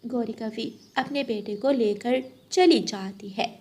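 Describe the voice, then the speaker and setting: High-voiced woman narrating a story. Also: slow pace, a little mellow. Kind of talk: scripted children's story narration.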